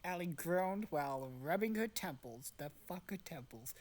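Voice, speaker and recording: A woman's voice: lowish voice, animated, normal speed, a little mellow. A woman narrating an amateur fan fiction reading casually, swearing and breaking off into exasperated asides.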